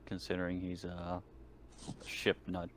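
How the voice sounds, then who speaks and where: A man's voice: sits low, monotone, unhurried. A man chatting casually as a player in a tabletop roleplaying game.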